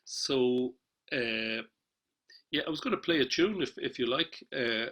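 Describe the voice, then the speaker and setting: A man's voice: medium pitch, expressive, a little slow, a bit bright. A man speaking casually as a panellist on a webinar, hesitant, with many fillers and restarts. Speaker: panellist, traditional musician. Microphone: video call.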